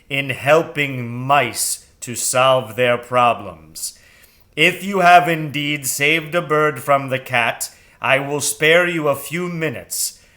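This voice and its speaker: A man voicing a grave, imperious owl in a storybook, with a deep, round, sonorous voice and formal, deliberate speech. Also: bright, forceful tone.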